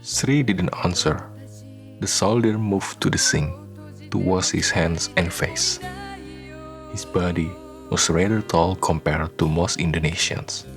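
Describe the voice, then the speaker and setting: A man narrating a scripted radio drama in a solemn, measured storytelling manner. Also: deep voice, lively intonation, soft background music.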